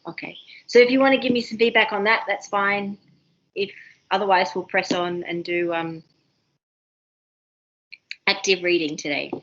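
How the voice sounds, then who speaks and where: Fast-talking Australian woman tutor, lo-fi. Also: mid-range voice. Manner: fast, excitable, many ums and restarts. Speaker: university seminar tutor. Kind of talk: online seminar teaching. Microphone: video call.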